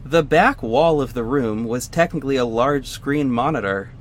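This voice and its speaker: Man acting vigilante hero narrating, mid-pitched. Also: moderate pace, close to an everyday voice. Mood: intrigued. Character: male vigilante hero recounting his own story. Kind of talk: first-person scripted narration.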